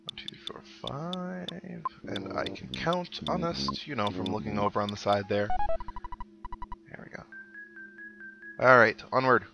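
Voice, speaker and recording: A man's voice: medium pitch, measured pace, gravelly, long pauses. A man doing casual let's-play commentary with wry, sarcastic asides, sometimes reading game text aloud. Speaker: gaming show host, player. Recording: dull lo-fi mic, game music behind. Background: synthesized video game music.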